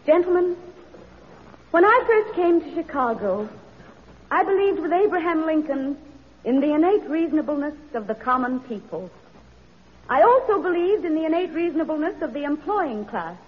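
A woman's voice: high-pitched, lively intonation, normal speed. A woman acting an earnest, determined social reformer in a vintage radio drama, speaking in formal, emphatic phrases. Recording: dull old radio broadcast recording.

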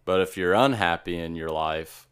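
A young man co-hosting a casual podcast, thinking out loud in long, rambling explanations. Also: low voice, moderate pace, clean tone. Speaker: podcast co-host. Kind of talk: casual chat.

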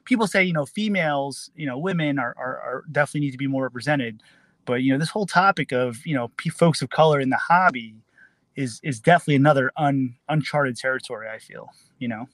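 Fast-talking New man. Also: medium pitch, a bit bright, no echo. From New Jersey, USA. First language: Tagalog. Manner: earnest, quick, repeats words. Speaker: guest. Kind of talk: casual interview chat.

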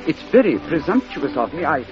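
An actor playing a shy, flustered English schoolmaster in an old radio drama, with a British accent and a hesitant, stammering, politely formal way of talking. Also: male voice, a little high, very animated, fairly quick. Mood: nervous, halting. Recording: dull vintage radio recording, music behind.

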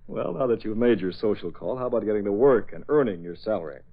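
An actor playing a kindly, assured newspaper boss, polished and reassuring in the style of 1940s radio drama. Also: male voice, fairly low pitch, expressive, quick pace. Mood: brisk. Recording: dull old radio recording with noise.

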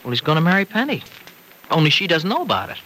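An actor playing a chatty, teasing young farmer in a 1940s-style radio soap opera, on a noisy old recording. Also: male voice, a little high, very animated, quick pace, bright, forceful tone. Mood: confiding.

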